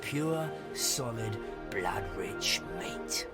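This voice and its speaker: An actor playing a rough, bitter sailor of the 1830s, reading a diary with menace in a game cutscene. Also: male voice, fairly low pitch, animated. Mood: hungry, menacing. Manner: uneducated old seafaring speech, drawn-out menacing phrasing. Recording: loud music over voice.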